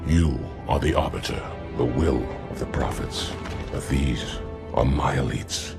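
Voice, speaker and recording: A proud alien commander from a game, with a very deep, gravelly growl. He is blunt and openly scornful. Loud orchestral music fills the background. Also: a little slow, exaggerated voice. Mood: contemptuous. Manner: blunt and contemptuous. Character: gruff male alien commander, proud and hostile.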